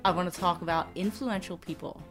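A woman's voice: fairly low pitch, fairly even intonation, brisk, music in the background. A middle-aged woman co-hosting a podcast, chatting casually, laughing often and restarting her sentences. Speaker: podcast co-host. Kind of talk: casual chat.

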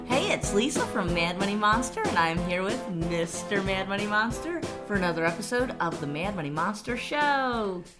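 A woman's voice: mid-range voice, animated, normal speed, hardly any pauses, loud music behind the voice. A woman co-hosting a casual money podcast, chatty and laughing often. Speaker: podcast co-host.

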